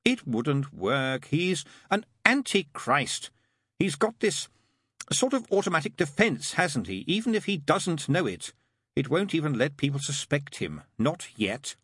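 A man's voice: a little high, very animated, a little slow, fairly bright tone, husky, no room sound, clean studio sound, pitched above the performer's own voice, exaggerated voice. A man voicing a worldly, world-weary demon in an audiobook, with a sarcastic, offhand, drawling manner. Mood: exasperated.

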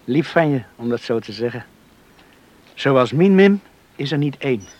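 An actor playing a passionate, headstrong young village suitor in a Dutch radio play, ardent and impulsive. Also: male voice, medium pitch, expressive, a little slow, a little mellow, lightly acted. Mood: tender. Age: young adult.

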